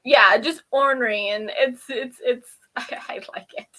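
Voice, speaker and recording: Woman, high bright voice, casual recap, chatty, giggly, animated storyteller. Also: measured pace. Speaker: review show host.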